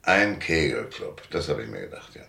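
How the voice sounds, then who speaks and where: Gravelly, low-voiced hard-boiled private detective Marlow. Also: male voice, very animated, normal speed, pushed and bright, echoey room, full character voice. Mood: sardonic, knowing. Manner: sardonic, curt, defiant. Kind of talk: German radio drama acting.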